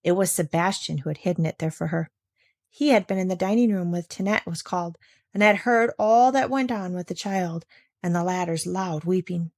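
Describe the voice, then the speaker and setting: A woman's voice: fairly low pitch, moderate pace, darkish tone, no echo, clean studio sound. A woman narrating a children's story, reading aloud from the written text. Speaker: audiobook narrator.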